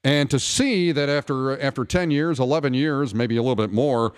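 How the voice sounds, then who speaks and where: A man's voice: mid-range voice, normal speed, clear, resonant tone. A man chatting conversationally about sports on a radio talk show. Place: radio studio.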